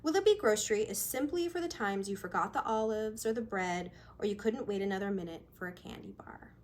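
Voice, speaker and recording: Woman author, mid-pitched voice, reading aloud. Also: a little fast. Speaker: author reading own short story. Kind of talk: casual introduction, then narrated fiction reading.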